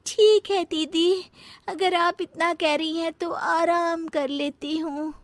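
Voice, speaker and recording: A woman's voice: extremely high pitch, steady tone, takes it slowly, dry recording. A woman voicing a lazy, scheming young daughter-in-law in a cartoon story, sulky and whiny. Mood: sly. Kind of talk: cartoon voice acting.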